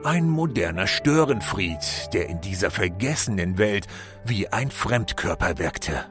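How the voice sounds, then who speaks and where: A man narrating a scripted fairy-tale audio play as a solemn, expressive storyteller. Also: low-pitched, unhurried, faint music behind. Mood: solemn, lyrical.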